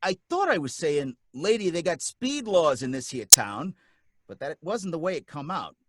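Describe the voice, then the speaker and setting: A man's voice: a little high, big pitch swings, normal speed, very noisy. An actor playing a nervous working-class cab driver who narrates his own story, rambling in folksy street talk. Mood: shaken. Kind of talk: radio drama acting.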